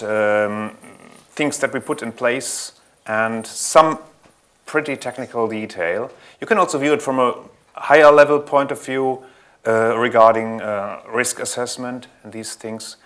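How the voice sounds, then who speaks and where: A man from Germany with a German accent, presenting a technical conference talk in an earnest, explanatory way, pausing often to correct himself. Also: mid-range voice, fairly bright tone. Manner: earnest, explanatory, with frequent ums and self-corrections. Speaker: conference speaker.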